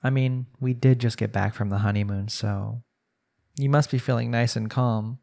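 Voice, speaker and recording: A man acts out a young newlywed husband who is prone to jealousy, in an intimate audio drama. His voice sits at an average pitch and has a creaky, gravelly grain. He talks conversationally, as if to his wife or family, leaning on his words. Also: steady tone, normal speed, darkish tone, close to an everyday voice. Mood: casual, teasing. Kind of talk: intimate audio roleplay, acted monologue.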